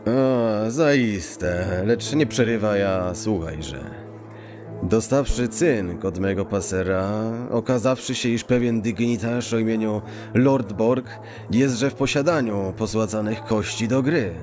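Casting: male actor as chummy, scheming street thief, low and very unhurried, archaic turns of phrase, audio drama. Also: music in the background. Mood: conspiratorial. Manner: mock-archaic, quirky halting phrasing, deliberate. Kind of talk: acted fantasy audio drama dialogue.

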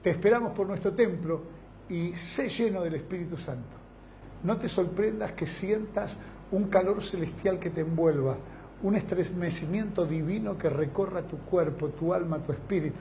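A man's voice: high voice, normal speed, dark tone, slight background noise, poor audio. A middle-aged man with an Argentine accent, a pastor giving a fervent televised invitation from a small studio set, speaking in long emphatic lists. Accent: Argentine Spanish.

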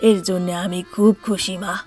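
A woman voicing a gentle, appreciative older father-in-law in a cartoon. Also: on the low side, steady tone, unhurried, soft background music, close to an everyday voice. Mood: grateful. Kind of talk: Bengali cartoon voice acting.

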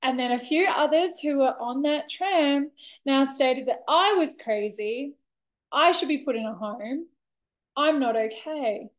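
A woman in her thirties giving a candid, reflective personal monologue to camera, expressive, with deliberate pauses and dramatic emphasis. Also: high-pitched. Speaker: vlogger sharing a personal story. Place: bright indoor room with large windows. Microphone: laptop webcam at desk distance.

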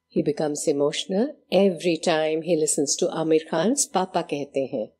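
A woman reads scripted documentary narration in a measured manner. Her voice is low, dark and breathy. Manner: measured, storytelling.

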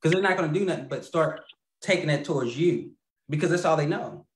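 A man's voice: on the high side, lively intonation, a little fast. Rambling man on a roomy video call. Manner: rambling, laughs mid-sentence, many fillers and restarts. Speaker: guest. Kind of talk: casual storytelling. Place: home room.

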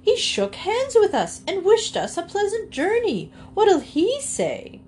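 Woman doing a fussy matronly farm animal lady, way higher pitch, indignant, storybook. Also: huge pitch swings, slow pace, darkish tone, a little hiss or hum, full character voice. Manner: exclaiming, emphatic.

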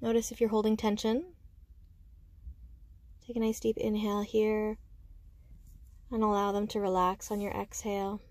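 A woman guiding a meditation with slow, soothing instruction, speaking gently and unhurriedly in short phrases with pauses. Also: mid-range voice, flat delivery, no echo. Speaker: meditation guide.

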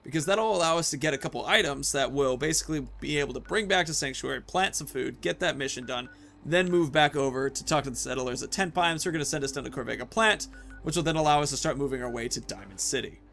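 A man's voice: mid-range voice, quick pace, creaky, fairly bright tone, slight background noise. A man in his thirties giving casual, playful gameplay commentary, rambling and talking to himself as he plays. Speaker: gaming video host.

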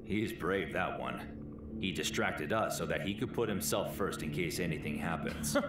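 A man voicing a grave, calm stranger in a sci-fi audio drama, very deep and measured. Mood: grave approval. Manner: measured, solemn. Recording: roomy, distant clip under loud music. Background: loud music.

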